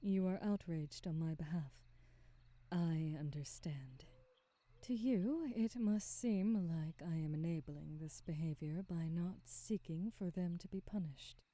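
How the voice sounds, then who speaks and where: A woman playing a kindly, centuries-old witch shopkeeper in an ASMR roleplay, gentle, motherly and wise, in a soft breathy half-whisper with soothing, measured, formal phrasing. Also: fairly low pitch, no echo, natural voice. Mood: calm, measured.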